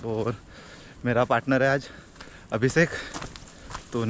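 A man's voice: mid-range voice, fairly even intonation, slow. A young man in his twenties, chatting casually as he rides and treks outdoors, upbeat as he shows off the views. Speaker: motovlogger. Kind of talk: casual travel vlog narration. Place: outdoors on hills and riding a motorbike in rain. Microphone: action camera, handheld at arm's length while trekking. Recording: dull lo-fi action camera audio with wind and traffic noise.

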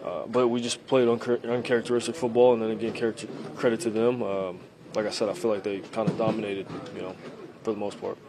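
A young man answering reporters' questions after a game, halting and repeating himself with many fillers, close to handheld mics in a locker room. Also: on the low side, flat delivery, crowd noise. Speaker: quarterback at a postgame press conference. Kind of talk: postgame press answers. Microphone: handheld reporter mics at close range.

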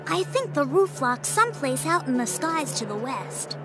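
A woman's voice: high voice, sing-song, normal speed. An actress voicing a helpful young storybook girl who explains things in a thoughtful way, in a video game cutscene. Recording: game music behind.

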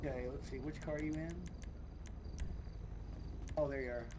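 A man's voice: a little high, fairly even intonation, moderate pace, background noise. A man chatting casually during a gaming livestream, folksy and exclamatory, with some swearing. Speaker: gamer on a livestream.

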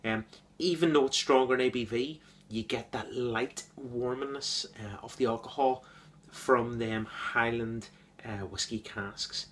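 A man giving an enthusiastic, casual beer review, repeating words and filling pauses with ums. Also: mid-range voice.

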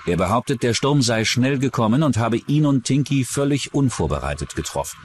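A man's voice: mid-range voice, expressive, a little fast, slight background noise. A man reading scripted true-crime documentary narration.

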